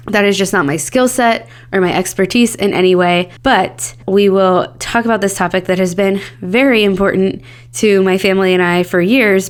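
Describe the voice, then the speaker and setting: A woman's voice: medium pitch, clean tone. Woman host with a scratchy, cold-worn voice. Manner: earnest, candid and upbeat. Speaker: podcast host. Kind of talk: solo podcast talk.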